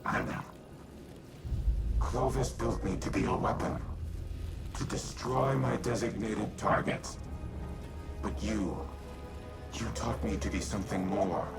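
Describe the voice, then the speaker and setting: An actor playing an ancient male warmind AI, speaking in a measured, formal, grave way with a gravelly voice. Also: lowish voice, fairly even intonation. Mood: resolute. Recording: game audio in a reverberant space with loud music.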